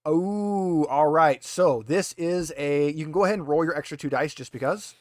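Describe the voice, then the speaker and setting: A man's voice: a little high, normal speed, no echo, clean studio sound. A man casually running an online tabletop roleplaying game as game master, with a teasing, joking manner.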